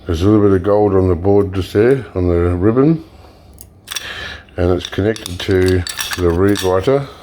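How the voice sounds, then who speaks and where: A man hosting a recycling channel, talking casually while taking apart electronics, slightly hoarse and pausing with fillers. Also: low voice, slight background noise. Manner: relaxed, explains while working, frequent fillers and restarts. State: slightly hoarse, clearing throat. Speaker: e-waste recycling channel host. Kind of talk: casual hands-on demonstration.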